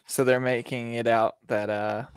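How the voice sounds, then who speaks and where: A man's voice: medium pitch, flat delivery, unhurried, clear, resonant tone, no room sound. A man in his thirties co-hosting a podcast over a video call on a headset mic, bantering in an offhand, joking way. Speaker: podcast co-host. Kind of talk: casual chat. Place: home room on a video call.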